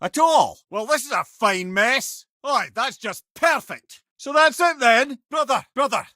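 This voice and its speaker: A man's voice: extremely high pitch, lively intonation, measured pace, bright, forceful tone, no echo, clean studio sound, exaggerated voice. An actor voicing a witty, worldly older male advisor in a video game, with a Scottish accent and a wry, conversational way with old-fashioned phrases. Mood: wry, urgent banter. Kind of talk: video game character dialogue.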